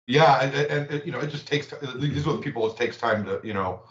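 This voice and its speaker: A man chatting on a livestream, halting and repeating words as he reads out game stats. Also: medium pitch, fairly bright tone. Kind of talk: casual livestream chat. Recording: echoey room, dull lo-fi audio.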